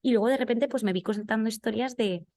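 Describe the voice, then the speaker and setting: A woman's voice: on the high side, fast, warm tone, no room sound. A woman, a podcast guest talking chattily with many fillers and restarts. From Spain. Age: 20s. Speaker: podcast guest, newsletter writer. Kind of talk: casual interview chat.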